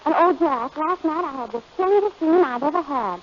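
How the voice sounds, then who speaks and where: A woman playing the sidekick on a 1930s radio comedy show, delivering deadpan wisecracks. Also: high-pitched, fairly quick. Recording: dull lo-fi old radio recording with faint hiss.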